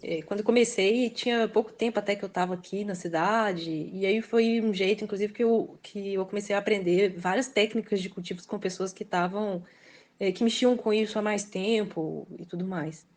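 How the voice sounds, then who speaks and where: A woman's voice: mid-range voice, moderate pace, darkish tone. A woman from Belo Horizonte with a Minas Gerais accent, a guest author talking casually and reflectively, with frequent hesitations. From Belo Horizonte, Brazil. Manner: reflective, hesitant, fills with "assim", laughs often. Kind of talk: casual interview conversation.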